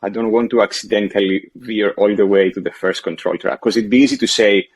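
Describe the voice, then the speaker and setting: A man's voice: fairly low pitch, fairly even intonation, brisk. A man in his thirties, originally from Greece, calling in to a show and talking hesitantly with many restarts. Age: 30s. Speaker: caller. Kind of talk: casual phone-in conversation.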